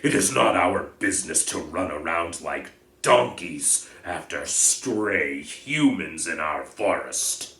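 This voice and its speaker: A man voicing an angry, proud male centaur who thunders and scolds in a loud voice. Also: sits low, animated, slow, hardly any pauses, recorded from a distance, full character voice. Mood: scornful. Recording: echoey room.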